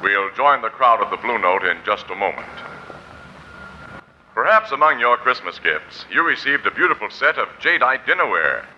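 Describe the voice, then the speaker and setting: A man's voice: medium pitch, very animated, normal speed. A male radio announcer reading a scripted 1940s commercial with a polished sales delivery. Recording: dull, noisy 1940s radio recording.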